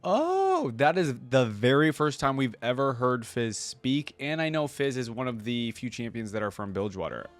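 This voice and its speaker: A man's voice: mid-range voice, sing-song, moderate pace, hardly any pauses, no echo, soft background music. A man hosting a reaction video, reading aloud and commenting in an informal, chatty way.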